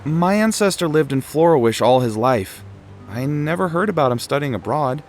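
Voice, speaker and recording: Man as polite game street sweeper, expressive, animated intonation, earnest, music behind. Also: fairly high pitch, moderate pace, close to an everyday voice. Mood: apologetic. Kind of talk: video game voice acting. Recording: music under the voice.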